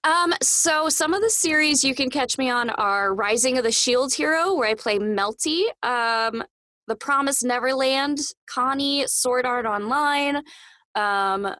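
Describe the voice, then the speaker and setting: A woman with a fairly high voice speaks as a podcast guest. She is articulate and upbeat in relaxed conversation. The sound is dry, with no room around it. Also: a little slow, no echo. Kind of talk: casual interview talk.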